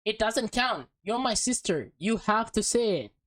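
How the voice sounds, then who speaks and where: A woman voicing an excitable, pushy little girl who is a younger sister, talking eagerly and repeating herself. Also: on the high side, unhurried. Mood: insistent.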